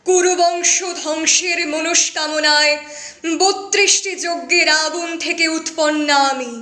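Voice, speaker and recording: A woman reciting a dramatic poem as a wronged, proud young queen of ancient epic, anguished and accusing, in formal, theatrical diction with weighted pauses. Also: very high-pitched, pushed and bright, exaggerated voice. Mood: proud, solemn. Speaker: poetry reciter. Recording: echoey, reverberant voice recording.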